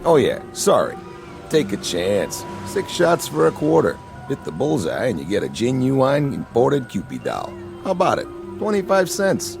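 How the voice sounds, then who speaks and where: A man playing a pushy, chummy carnival shooting-gallery operator in a radio drama, with a gravelly voice. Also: medium pitch, huge pitch swings, normal speed. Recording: radio drama with music behind.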